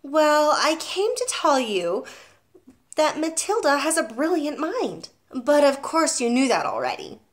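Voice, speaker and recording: A woman's voice: high voice, big pitch swings, a little slow, pitched above the performer's own voice. A woman reading aloud and voicing a polite but firm young female schoolteacher, speaking precisely and growing insistent. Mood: pointed. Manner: precise, courteous, turning sharp and insistent.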